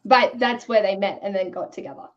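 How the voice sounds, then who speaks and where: A woman's voice: mid-range voice, brisk. A woman with a mid-range voice talks casually as a co-host in an online book chat. Her manner is candid and slangy. The audio is slightly dull and lo-fi, like a video call.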